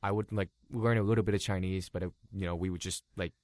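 A man in his thirties from Los Angeles, whose first language was Cantonese, telling his story in a relaxed interview full of "like" fillers. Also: sits low, fast, no echo, clean studio sound. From Los Angeles, California. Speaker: guest YouTuber.

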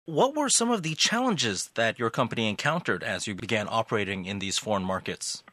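A male interviewer asks polite, straightforward questions in a bright, forceful voice. The sound is dry and close, with no room echo. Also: lowish voice, animated, a little fast.

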